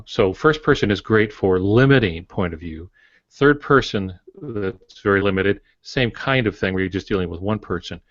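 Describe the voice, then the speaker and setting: An older man co-hosting a writing podcast, explaining in a measured way with hesitant fillers. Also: on the low side, a bit bright, dry recording. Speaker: podcast co-host. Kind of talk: casual writing craft explanation. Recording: dry, dull lo-fi audio.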